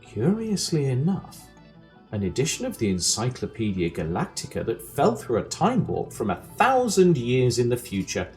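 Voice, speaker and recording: An actor playing a dry, wry narrator of a galactic guidebook, reading scripted comedy. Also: male voice, medium pitch, wildly swooping pitch, moderate pace. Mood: dry, mock-official. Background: music.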